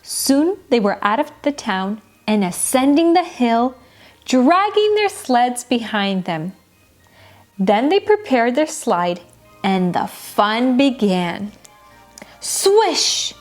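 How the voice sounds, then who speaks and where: Lively, slow-paced woman storyteller. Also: a little high. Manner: animated, deliberate storybook delivery. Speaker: storyteller, children's show host. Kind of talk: expressive children's bedtime story narration. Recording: music behind the voice. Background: background music.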